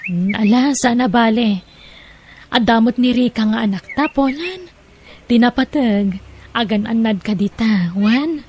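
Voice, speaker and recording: A woman's voice: fairly high pitch, lively intonation, a little slow, a little mellow, slight background noise. A woman playing a devoted, sentimental Filipino mother and wife in an emotional audio drama, with a Filipino accent. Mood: tender.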